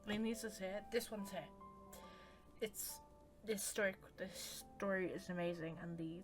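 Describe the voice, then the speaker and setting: A woman's voice: mid-range voice, a little slow. A woman streamer giving casual, informal, gushing reaction commentary while playing a game. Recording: voice over game music.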